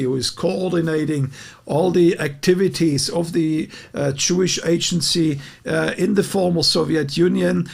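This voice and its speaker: A man with a very gravelly, husky voice, pitched a little high. He speaks as the host of a live update call, earnest and direct with his guests.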